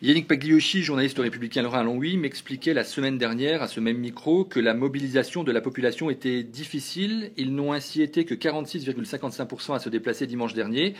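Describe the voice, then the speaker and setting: A man works as an interviewer, introducing prepared questions. His voice sits at a medium pitch and has a slightly husky edge. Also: fast talker, few pauses. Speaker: newspaper journalist, interviewer.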